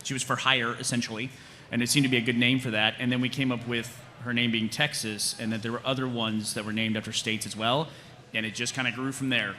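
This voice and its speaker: A man's voice: medium pitch, level tone, fast talker, pushed and bright, slight background noise. A man talking off the cuff and jokingly on a live convention panel, answering audience questions as a writer. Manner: joking, self-deprecating.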